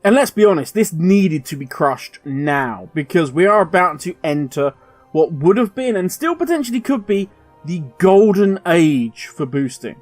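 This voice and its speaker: A man's voice: sits high, lively intonation, normal speed, no room sound, faint music behind. A man hosting a gaming video, giving emphatic, opinionated commentary straight to the viewer. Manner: emphatic, ranting, direct address.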